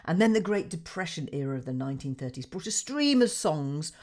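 A woman hosting a radio show in a chatty way, with a deep, husky voice made hoarse by a cold, laughing and filling pauses with ums. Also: sing-song, a little mellow. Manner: chatty, laughing, with many fillers. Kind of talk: casual radio presenting.

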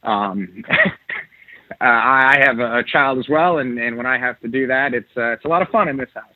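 A man with a Chicago accent hosting an interview, chatty and halting, with many restarts. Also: medium pitch, no room sound. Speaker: host. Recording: tinny dry phone line.

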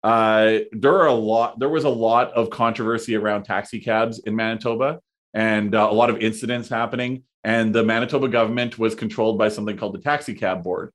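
A man in his thirties, chatting as a podcast guest, talking in a halting way with lots of fillers and restarts. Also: fairly low pitch, steady tone. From Canada. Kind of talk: casual conversation.

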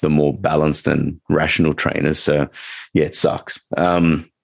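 Low-voiced man rambling about dog training. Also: level tone, a little slow, gravelly, no room sound, studio quality. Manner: rambling, frequent restarts and fillers. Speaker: dog trainer and podcast co-host. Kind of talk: casual podcast chat.

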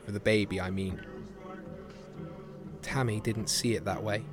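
Casting: male actor for a resigned, heartbroken young man living rough, low pitch, weary intimate delivery in a radio play over music. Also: steady tone, a little slow, lightly acted. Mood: quiet heartbreak. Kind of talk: intimate audio-drama monologue. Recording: music behind the voice.

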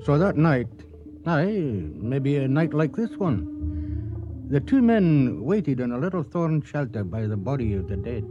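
An actor playing a portentous male narrator of a radio drama, pausing dramatically as he tells the tale. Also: medium pitch, big pitch swings, lo-fi sound. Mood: ominous. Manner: portentous, halting with dramatic pauses. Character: brooding male storyteller of a lion tale. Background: synthesizer music.

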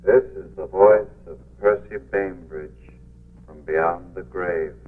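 A ghost intones from beyond the grave in a low, very slow, solemn chant. It is 1940s radio drama, heard as a record through a tinny, narrow old broadcast. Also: male voice. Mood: ominous, solemn. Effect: played as a phonograph record.

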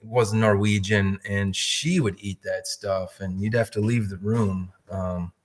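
A man in his fifties from Wisconsin chats as a guest on a podcast. He is friendly and rambling and often repeats words as he talks. His voice sits a little low. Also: steady tone, a little slow, a crowd in the background. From Wisconsin, USA. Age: 50s. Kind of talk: casual interview talk.

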